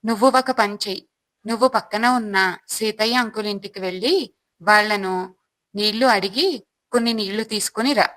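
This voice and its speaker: A woman's voice: medium pitch, normal speed, a bit bright, no echo, close to an everyday voice, rough recording. Earnest village wife played by a woman, dry sound. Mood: worried, instructing. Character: worried village wife and mother, earnest and upright. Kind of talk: moral storybook acting.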